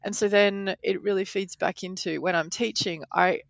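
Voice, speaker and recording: A woman's voice: medium pitch, steady tone, normal speed, vocal fry, no echo. A woman in her forties who grew up in Poland, a podcast editor and language teacher, talking through her ideas thoughtfully in a casual interview. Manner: thoughtful, explains with examples.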